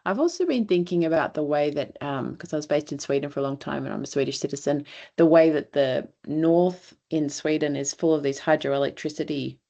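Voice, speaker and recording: A woman's voice: low voice, brisk, creaky, few pauses, dark tone, dull audio. A woman joining a webinar by video call, thinking aloud in a reflective way with many fillers. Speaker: webinar participant. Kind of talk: webinar discussion.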